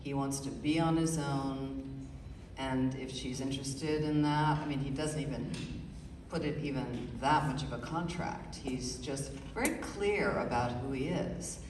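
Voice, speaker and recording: A middle-aged woman, an author, speaking thoughtfully and with many pauses in a live radio interview. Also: rumbling low, background noise. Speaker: author guest. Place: stage before a live audience. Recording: roomy hall, far from the mic, audible ambience.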